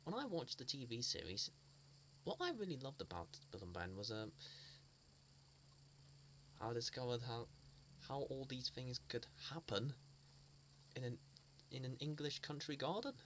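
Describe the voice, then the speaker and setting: Halting, earnest man with many restarts. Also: mid-range voice, animated, fairly bright tone, slight background noise. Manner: halting, with frequent restarts and repeated words; earnest and fond. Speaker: fan sharing memories. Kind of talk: casual spoken reminiscence.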